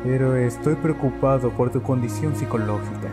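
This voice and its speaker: A man playing a concerned, reassuring psychologist in a game dub, measured and consoling, with a Latin American Spanish accent. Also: medium pitch, natural voice. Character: concerned, reassuring male psychologist counselling a grieving patient. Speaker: voice actor in a game fan dub. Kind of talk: dramatic dubbed dialogue. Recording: music behind the voice.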